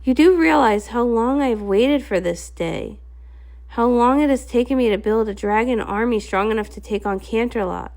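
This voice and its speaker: A woman's voice: on the high side, moderate pace, darkish tone, lightly acted. A woman voicing a vengeful, wounded dragon leader in a menacing, declamatory way for a comic read-along. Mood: bitter.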